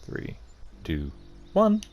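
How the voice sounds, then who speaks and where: A man's voice: very slow, a little mellow, dull audio, slight background noise. A man doing casual let's play commentary, thinking aloud and muttering to himself as he plays. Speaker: gaming video host.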